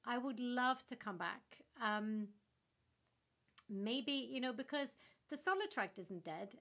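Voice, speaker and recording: Norwegian-born actress guest in her 30s, eager and gesturing, webcam call from home. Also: fairly high pitch. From Norway. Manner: enthusiastic, animated with hand gestures. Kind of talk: remote interview answers. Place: home room in front of a floral wall. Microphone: laptop webcam on a video call.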